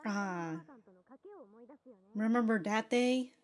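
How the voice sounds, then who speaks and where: A woman's voice: fairly high pitch, very slow. A woman giving casual, sweary, giggly reaction commentary as she hosts a reaction video. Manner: giggly, often breaking into laughter.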